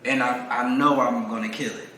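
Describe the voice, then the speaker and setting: A man's voice: medium pitch, steady tone, normal speed, pushed and bright. A man co-hosting a casual interview, encouraging and often echoing the guest's words. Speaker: podcast co-host, interviewer. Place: echoey room. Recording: very echoey room, faint noise.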